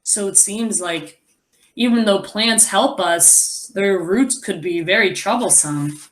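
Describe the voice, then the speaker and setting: A woman teacher reading a children's story aloud expressively, pausing to explain and wonder aloud. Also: mid-range voice. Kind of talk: animated children's read-aloud with asides.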